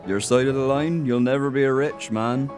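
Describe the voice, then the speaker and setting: An actor in an audio drama playing a sly, genteel criminal mastermind with a suave, mock-polite manner. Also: male voice, mid-range voice, normal speed, a little mellow, soft background music, natural voice. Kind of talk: audio theatre acting.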